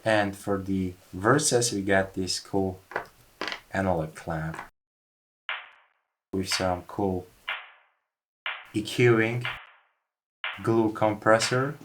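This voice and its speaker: A man's voice: sits low, drawn-out words, pauses often, background noise. A man music producer casually walking through how a track was made. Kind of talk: casual studio walkthrough.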